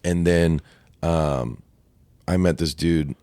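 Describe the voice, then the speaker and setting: Man, from Ohio, deep voice, very low pitch, relaxed chatty guest, dry close sound. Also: takes it slowly, no echo. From Ohio, USA. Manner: relaxed and chatty, peppers with "dude" and "right?". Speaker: guest singer-songwriter. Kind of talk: casual podcast conversation.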